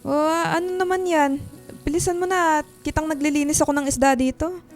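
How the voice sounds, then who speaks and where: A woman's voice: high voice, fairly even intonation, fairly quick, soft background music. A woman playing an irritable, dismissive middle-aged mother in a Filipino radio drama, brusque and defensive.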